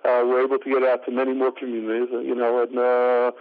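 A man giving an interview, speaking haltingly with many fillers. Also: mid-range voice, completely flat delivery. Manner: halting, full of fillers like "uh, you know". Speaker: interview guest. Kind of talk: interview over the phone. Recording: tinny phone line.

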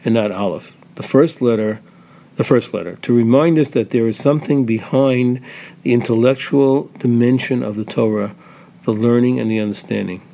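A man's voice: medium pitch, normal speed, gravelly, warm tone. A man hosting an interview, steering it with short acknowledgements and clarifying questions. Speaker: radio host.

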